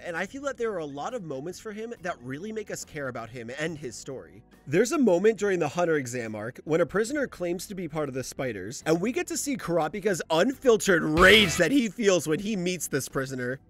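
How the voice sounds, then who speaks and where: A man giving casual, scripted video-essay narration in an emphatic manner peppered with swearing. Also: on the high side. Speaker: host of a manga video essay. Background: music behind the voice.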